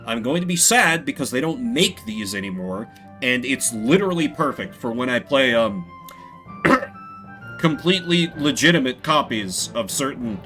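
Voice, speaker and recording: A man's voice: medium pitch, animated, a little slow, over music. A man talking casually as a game streamer, rambling and often interrupting himself. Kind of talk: casual livestream chat and commentary.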